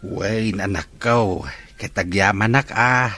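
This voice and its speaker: A man playing a gentle elderly father with a husky voice and Filipino accent in an audio drama. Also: on the low side, takes it slowly, no echo. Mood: affectionate, reassuring. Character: gentle elderly father, grateful to his children.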